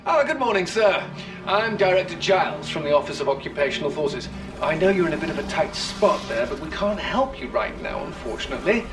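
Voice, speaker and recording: An actor playing a smug occupation bureaucrat in a war game, politely dismissive and falsely apologetic. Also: male voice, on the high side, sing-song, brisk. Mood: breezy, dismissive. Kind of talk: video game character dialogue. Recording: distant sound under game music. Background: game music.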